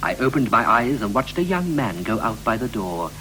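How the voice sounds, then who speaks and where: An actor playing a wistful married man reflecting on his life in a poetic 1960s radio play, with a measured, lyrical delivery. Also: medium pitch, sing-song, fairly bright tone, poor audio. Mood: wistful, reflective. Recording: hissy, humming 1960s radio recording.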